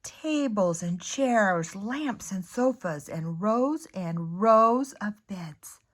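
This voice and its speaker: An older woman reading a children's picture book aloud, expressively, with pauses, as a grandmother talking to a young listener. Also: medium pitch, animated. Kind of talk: unhurried children's storybook read-aloud.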